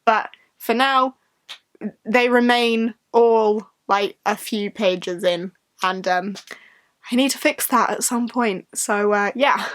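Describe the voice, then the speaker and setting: A woman's voice: on the high side, slow pace, a bit bright. A woman with a slightly bunged-up voice from a cold, chatting casually and ramblingly to viewers as a book vlogger. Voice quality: slightly congested, bunged-up nose. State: getting over a cold.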